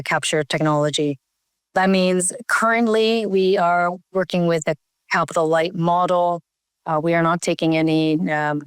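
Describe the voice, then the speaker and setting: A woman's voice: on the low side, clean tone, dry recording. A woman from Canada, a company CEO, answering interview questions over a remote call, thoughtful with many fillers and restarts.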